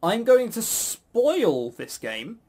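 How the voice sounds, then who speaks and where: A man's voice: extremely high pitch, wildly swooping pitch, very slow, a little mellow. A man hosting a casual chat about games, with a sarcastic, mock-grumbling manner. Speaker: podcast host. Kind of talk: casual chat reviewing visual novels.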